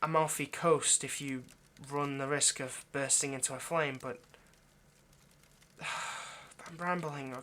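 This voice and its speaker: A man playing an anxious, lovesick centuries-old vampire kidnapper in an intimate roleplay monologue, speaking in a halting, coaxing, rambling way straight to the listener. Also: medium pitch, fairly even intonation, pushed and bright, slight background noise. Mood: nervous, rambling.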